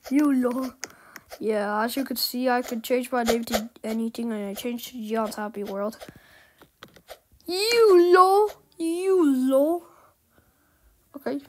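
A woman's voice: on the high side, takes it slowly, long pauses, slight background noise. A woman hosting a casual gameplay video, teasing a friend playfully and often dropping into whispers. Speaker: gaming channel host.

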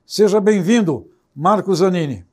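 High-pitched older Brazilian man hosting. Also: animated, measured pace, darkish tone. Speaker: interview host. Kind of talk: broadcast interview. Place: indoor broadcast studio.

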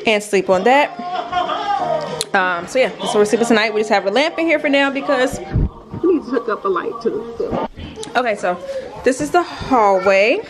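A young adult woman chatting informally to viewers in a casual vlog, recorded indoors on a nearby camera. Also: on the high side. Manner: informal, addresses viewers directly. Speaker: vlogger. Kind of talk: casual vlog chat, narrating a house tour. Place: indoors, rooms of a house under renovation. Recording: clear background noise, clicks.

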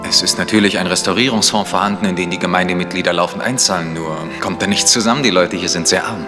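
Actor as earnest, low-voiced village vicar. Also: male voice, steady tone, fast talker, over music, close to an everyday voice. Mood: earnest, concerned. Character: earnest, worried village vicar, male church guide. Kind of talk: 1960s German radio play acting.

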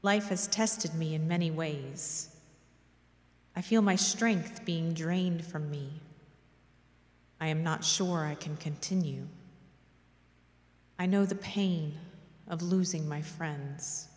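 Middle-aged woman, devotional reader. Measured, prayerful, very echoey church sound at a lectern mic. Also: deep voice, darkish tone. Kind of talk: solemn scripted devotional reading. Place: large dim church, at a lectern. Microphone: gooseneck lectern microphone. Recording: echoey church with long reverb.

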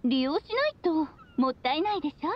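A woman's voice: high voice, lively intonation, fast, muffled recording. A woman voicing a breezy, affectionate, free-spirited witch mother in a video game, with a teasing friendly manner. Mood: breezy, fond. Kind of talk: video game voice acting, monologue.

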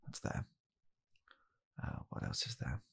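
A young British man in a hushed, halting, deadpan comedic ASMR roleplay, playing a bitter, weary father coldly blaming his child. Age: young adult. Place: small home room, indoors.

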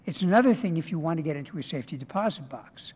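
A man's voice: a little high, animated, rapid-fire, darkish tone, slightly rough. An older man testifying at a hearing, explaining his point with examples and a few hesitant fillers into a table microphone. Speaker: hearing witness. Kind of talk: congressional testimony. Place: large hearing room. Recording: reverberant hearing room.

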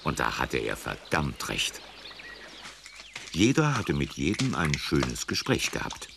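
Very deep gravelly male storyteller. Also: wildly swooping pitch, slow, noisy background. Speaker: narrator. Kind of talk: scripted children's story narration. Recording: music behind the voice. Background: loud music.

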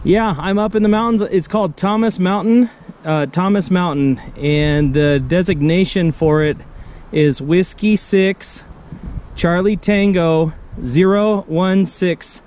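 A middle-aged American man with a fairly high voice, recorded outdoors with clear noise behind him. He talks casually as a ham radio operator and repeats short phrases for clarity. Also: takes it slowly, a little mellow. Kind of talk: casual radio chat while demonstrating gear. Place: outdoor picnic table on a wooded mountain. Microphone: camera on the table nearby.

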